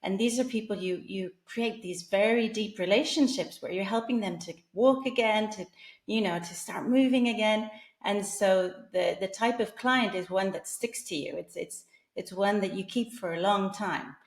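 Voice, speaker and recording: Gravelly-voiced woman guest, chatty. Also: medium pitch, moderate pace, roomy sound. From England. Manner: chatty, frequent fillers and restarts, laughs often. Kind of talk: casual interview chat.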